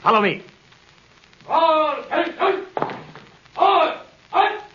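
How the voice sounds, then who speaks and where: Stern, echoey old-radio lawman, high-pitched. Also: very animated, slow pace, highs cut off, slight background noise, full character voice. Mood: stern, official. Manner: commanding, formal, declaiming. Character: stern male officer of the law making an arrest. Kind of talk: 1930s radio acting. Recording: echoey, band-limited 1930s radio recording.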